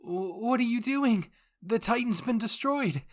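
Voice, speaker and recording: This is a gentle teenage soldier boy played by a story narrator. His voice sits high, with an anxious, earnest and concerned way of asking things. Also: animated, unhurried, close to an everyday voice. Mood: worried.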